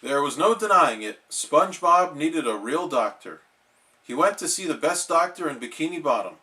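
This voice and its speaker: Animated, high-pitched man reading a bedtime story. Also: normal speed.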